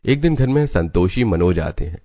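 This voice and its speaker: A man's voice: low-pitched, very animated, moderate pace, dark tone, no room sound, clean studio sound. A man narrating a scripted family story in Hindi cartoon style.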